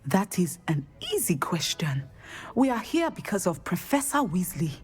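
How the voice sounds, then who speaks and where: An actress playing a wise, dignified Ugandan divination professor, formal and deliberate with dry amusement, in game dialogue. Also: female voice, on the low side, sing-song, breathy edge, faint music behind, full character voice. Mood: dignified, gently amused.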